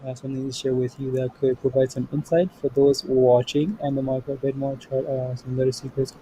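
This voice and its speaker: A man's voice: medium pitch, monotone, a little fast, warm tone, a little hiss or hum, poor audio. A man hosting a casual trading livestream, upbeat and chatty, often saying yep and there you go. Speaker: livestream host.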